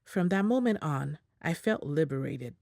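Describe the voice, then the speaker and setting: A woman reading a prepared statement aloud in English in a measured, formal way, as an interpreter on a video call. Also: low-pitched, animated, vocal fry, darkish tone, dry recording, clean studio sound.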